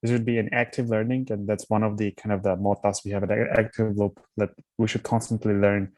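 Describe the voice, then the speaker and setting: Young man from Armenia, low dry voice, thoughtful and self-correcting; guest founder on an interview call. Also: level tone, fairly quick, a little mellow, dry recording. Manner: thoughtful, restarts words often. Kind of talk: casual interview answers.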